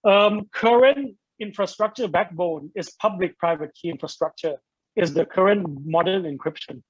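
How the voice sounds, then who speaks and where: Man pitching his startup over a dull video call. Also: moderate pace, dry recording. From Thailand. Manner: steady pitch delivery with frequent ums and restarts.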